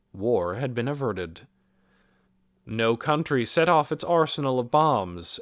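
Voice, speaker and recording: Lively male storybook narrator, dry close sound. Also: mid-range voice, no echo. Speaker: audiobook reader.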